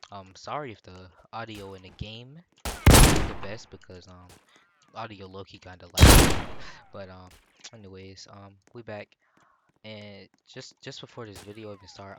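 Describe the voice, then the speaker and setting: A man's voice: on the low side, very noisy. A young man with a sore throat and stuffy nose, rambling through casual gameplay tips with lots of fillers and slang. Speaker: gaming YouTuber. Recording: loud game gunfire behind the voice. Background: gunshots and clicks from the game.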